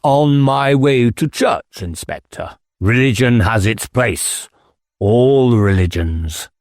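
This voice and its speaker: An eccentric village squire from an audiobook, played by a male narrator, lifted above a low natural register. He is sly and theatrical, taunting outsiders with deliberate, drawn-out disdain in a close, dry recording. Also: lively intonation, a little mellow, no echo. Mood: cryptic, sly. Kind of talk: audiobook character acting.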